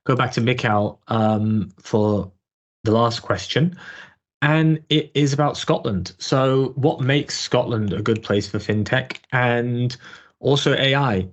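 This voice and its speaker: A man's voice: medium pitch, fairly even intonation, slow pace, muffled recording. A man hosting a panel podcast, thanking guests politely and passing questions along with many fillers. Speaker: podcast host.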